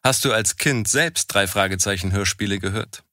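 A man's voice: lowish voice, moderate pace, sharp tone, no echo, studio quality. A man hosting, reading a scripted intro and asking interview questions. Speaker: interviewer, host.

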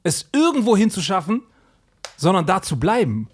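Husky, lively-pitched male guest. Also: moderate pace. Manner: animated, laughs often, joking. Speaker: guest, TV presenter. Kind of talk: casual podcast chat.

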